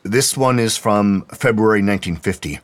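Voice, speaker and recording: A man's voice: fairly low pitch, moderate pace, voice pushed up, lightly acted. A man voicing an eager, slightly sheepish male technician with a mild, obliging manner in an audiobook story.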